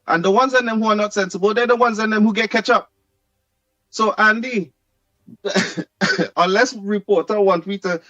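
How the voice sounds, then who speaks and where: A man's voice: high voice, a bit bright, no room sound, muffled recording. A Trinidadian man calling in to a talk show, laughing often and repeating himself emphatically. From Trinidad and Tobago. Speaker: caller. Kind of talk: animated phone-in rant.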